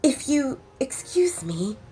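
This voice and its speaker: A woman's voice: sits high, sing-song, slow, mellow, husky, soft background music, voice pushed up, exaggerated voice, rough recording. A woman voicing a resentful young bride-to-be in an audio drama, guarded and curt in manner.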